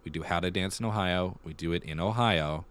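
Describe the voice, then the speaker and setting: Low-voiced man chatting casually as podcast host. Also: brisk, a bit bright. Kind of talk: casual theater news chat.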